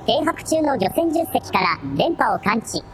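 A synthetic female voice playing a calm, matter-of-fact AI assistant in a radio drama, reporting in clipped phrases. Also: sits high, unhurried, music in the background.

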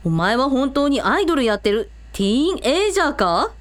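A woman playing a brisk, teasing big sister in a casual, comic way for a Japanese audio drama. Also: high voice, big pitch swings.

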